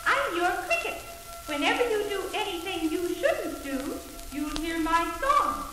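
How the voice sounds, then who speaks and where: A woman playing a tiny wise cricket, creaky and preachy, on an old children's record. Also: very high-pitched, sing-song, a little slow, music in the background, heavily acted. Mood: solemn. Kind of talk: 1940s children's record acting. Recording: echoing old 78 rpm record.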